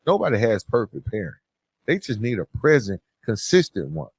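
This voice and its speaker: A man hosting a solo podcast, giving advice and encouragement in an earnest, deliberate way with emphatic phrasing. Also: lowish voice, sing-song, slightly rough, no room sound. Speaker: podcast host.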